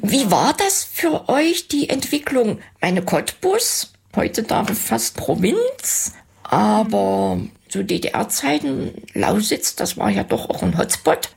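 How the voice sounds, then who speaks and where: Gravelly, raspy woman interviewer. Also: a little high, very animated, takes it slowly, darkish tone. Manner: curious, asks many questions. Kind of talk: casual conversational interview.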